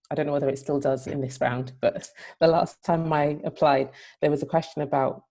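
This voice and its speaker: A woman's voice: sits low, gravelly, mellow. A woman writer giving a considered, unscripted answer as a panelist.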